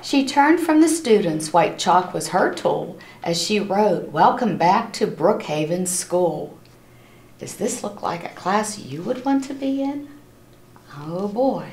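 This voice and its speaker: A woman reading a picture book aloud to children in an expressive, animated storytelling style, stopping to address her listeners. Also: medium pitch. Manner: animated, acts out characters' lines, pauses to ask listeners questions. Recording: roomy, echoing room sound.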